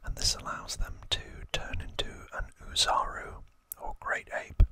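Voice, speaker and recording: Slow, hushed ASMR whisperer. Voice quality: breathy unvoiced whisper. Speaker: ASMR video host. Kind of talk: ASMR whispered trivia narration.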